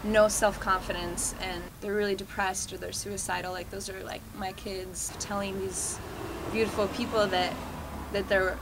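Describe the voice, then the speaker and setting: A woman's voice: mid-range voice, level tone, normal speed, heavy background noise. A young woman from California speaking heartfelt in a documentary interview, often filling her sentences with "like.". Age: young adult. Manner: heartfelt, lots of "like".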